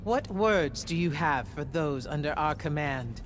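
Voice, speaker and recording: A woman playing a composed leader of battlemages in a fantasy video game, measured and authoritative in an oratorical way. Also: deep voice, animated, noisy background. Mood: commanding, ceremonial.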